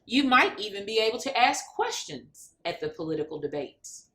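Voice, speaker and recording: A woman reading a children's picture book aloud in an expressive, deliberate way, speaking directly to young listeners. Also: a little high. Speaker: storybook reader. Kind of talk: animated children's read-aloud.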